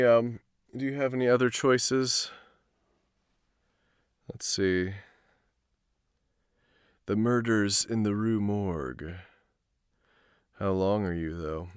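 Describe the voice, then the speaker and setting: A man's voice: lowish voice, clear, resonant tone, no echo. Man reading aloud, dry close sound. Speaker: streamer reading stories aloud. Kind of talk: live storytelling and casual stream chat.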